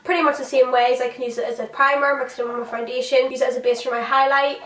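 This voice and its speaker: A young woman races through her favourite finds, gushing and sprinkling in "like" and "literally", her slightly high voice gone husky from a cold. She sounds set back from the mic in an echoey room. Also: level tone, fast, far from the mic, faint music behind. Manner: gushing, chatty, many "like"s and "literally"s. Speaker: beauty vlogger and makeup artist. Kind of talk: casual product review.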